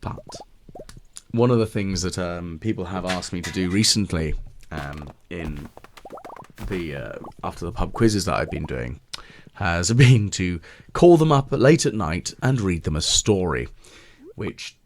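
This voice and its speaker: A British man with a deep voice giving relaxed, meandering gameplay commentary with gentle, wry asides. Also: a little slow, slight background noise. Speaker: let's play video host.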